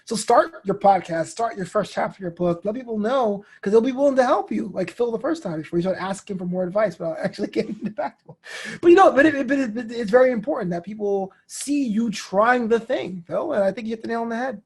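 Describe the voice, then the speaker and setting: A man in his thirties talking casually as an interview guest, telling animated stories with slang and acted-out quotes. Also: high voice, fast, breathy edge. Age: 30s. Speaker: interview guest, DJ, comedian and host.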